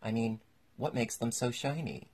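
A man's voice: mid-range voice, a little mellow, breathy edge, natural voice. A man voicing a brusque, impatient gryphon creature from a storybook, bossy and blunt in manner. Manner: brisk, bossy, matter-of-fact. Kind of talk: storybook character voice.

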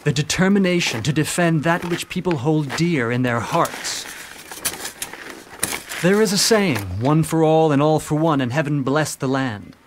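An actor playing a noble, earnest samurai strategist with a declamatory manner in a video game. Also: male voice, fairly high pitch, expressive, measured pace, a little hiss or hum. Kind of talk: dramatic video game acting. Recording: music under the voice.

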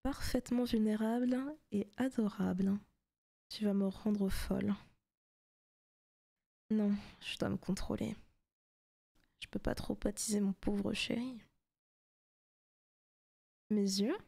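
A woman playing a seductive, possessive demon in a soft, teasing ASMR roleplay, often slipping into whispers. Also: medium pitch, fairly even intonation, slow, creaky, pauses often. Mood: teasing, hungry, then coaxing, tender. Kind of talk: ASMR roleplay, intimate scripted monologue.

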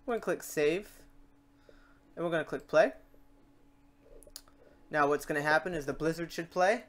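A man hosting a software tutorial in a casual, chatty way, talking through each step aloud. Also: fairly high pitch, normal speed. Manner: informal, chatty, talks through steps aloud. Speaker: tutorial host.

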